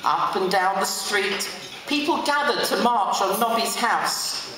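A middle-aged woman gives an expressive reading from a book at a lectern. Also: mid-range voice, measured pace. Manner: animated, acts out dialogue. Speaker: reader at a memorial event. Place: hotel function room, on stage. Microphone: stand mic at lectern through PA, recorded from audience. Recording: distant, echoey hall with clear noise.

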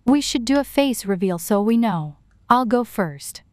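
A woman's voice: on the high side, lively intonation, a little slow, a little mellow, no room sound, studio quality. A woman playing a chatty, bubbly, apologetic teenage girl in an amateur scripted storytime drama. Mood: cheerful. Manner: chatty, eager. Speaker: voice actor in an animated storytime drama. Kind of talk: scripted amateur roleplay dialogue.

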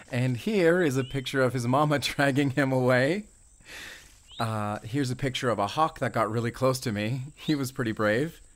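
A man playing a friendly, talkative, excitable young forest ranger with an American accent in a roleplay audio drama. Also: mid-range voice, natural voice. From Arizona, US. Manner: talkative, eager, rambling, with small chuckles.

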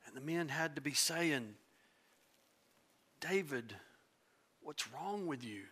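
A middle-aged pastor preaches from a church stage with an animated delivery. He swings between loud emphasis and hushed, near-whispered lines. His voice is bright and fairly high. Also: slow pace, husky. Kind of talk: sermon.

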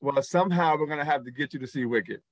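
A man's voice: mid-range voice, fast, no room sound, low-quality recording. A middle-aged man hosting an interview over a video call, effusive and laughing, repeating his praise. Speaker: talk show host. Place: indoors in front of a backdrop.

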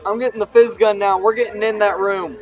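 A man chatting casually with friends over a multiplayer game, talking loudly with a booming, projecting voice and laughing often. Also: fairly even intonation, a little fast, faint music behind. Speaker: gamer. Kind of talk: casual gaming chat with friends.

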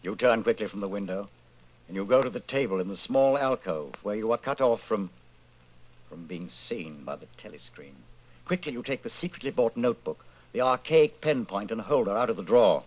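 A man with a lowish, animated voice narrates a radio drama. His phrasing is deliberate and ominous, in an old-time theatrical style. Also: rough recording.

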